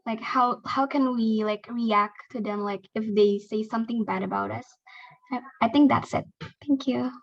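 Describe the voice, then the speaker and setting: A woman's voice: on the high side, fairly even intonation, normal speed, creaky. Woman asking, "like"-filled, lo-fi audio. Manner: filler-heavy, lots of "like". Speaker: student asking a question.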